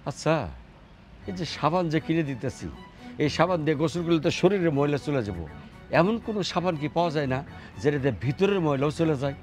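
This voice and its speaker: A man's voice: fairly high pitch, fast talker, a little mellow, soft background music, close to an everyday voice. A man acting a newly rich, contemptuous father-in-law in a family drama, with a Bangladeshi accent. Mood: mocking. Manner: mocking, contemptuous, needling.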